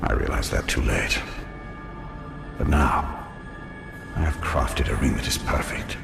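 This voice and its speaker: A man's voice: a bass voice, unhurried, vocal fry, exaggerated voice. A man playing a grim, proud elven wraith in a video game, speaking in a solemn, formal manner. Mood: proud, brooding. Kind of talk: dramatic video game acting. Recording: echoing voice under ominous music.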